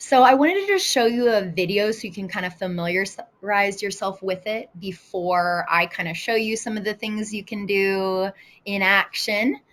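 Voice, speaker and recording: American lady teacher, middle pitched voice, chatting casually through a webinar demo. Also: normal speed, a bit bright. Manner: informal, conversational. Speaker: elementary school teacher presenting a tutorial. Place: live online video session.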